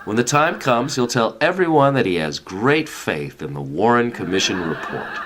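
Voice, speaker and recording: A man's voice: medium pitch, very animated, normal speed, background noise, natural voice. An actor playing a jovial, patronizing older male official who reassures in an emphatic, avuncular way, in a comedic radio play. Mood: confident, smug.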